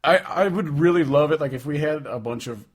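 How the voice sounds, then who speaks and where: A man's voice: a little high, brisk, slightly rough. A man co-hosting a podcast, talking casually about music in a rambling, emphatic way with plenty of swearing. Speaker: podcast co-host. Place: indoors, remote call between hosts.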